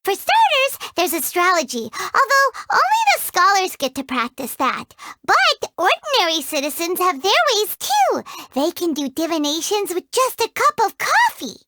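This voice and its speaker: A woman voicing a tiny, excitable fairy companion in a video game who talks about herself in the third person. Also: moderate pace, hardly any pauses, a little hiss or hum, full character voice. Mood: chirpy, excitable. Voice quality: high squeaky childlike voice. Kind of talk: video game character dialogue.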